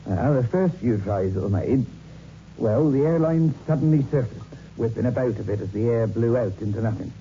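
An actor playing a grave local police sergeant who tells ghost tales in a folksy, ominous manner, in 1960s radio drama style. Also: male voice, medium pitch, lively intonation, normal speed, dark tone, close to an everyday voice. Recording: muffled, hissy old radio recording.

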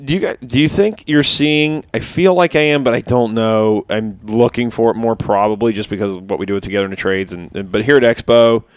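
A man's voice: mid-range voice, fairly even intonation, a little fast, hardly any pauses, clean tone, dry recording. A man hosting a podcast interview at a trade expo, asking loose, curious questions.

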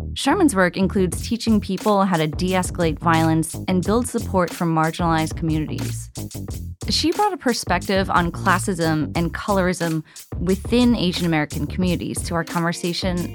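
A woman hosting a podcast, giving a friendly, conversational scripted intro and asking interview questions. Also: lowish voice. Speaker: podcast host.

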